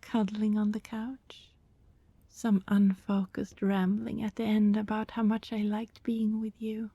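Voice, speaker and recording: A woman playing an anxious, lovelorn vampire neighbor in an ASMR roleplay, speaking to the listener in halting, secretive, coaxing rambles that slip into whispers. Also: medium pitch, little pitch movement, warm tone, no room sound. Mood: nervous reasoning. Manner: halting, rambling, breathy asides dropping into whispers.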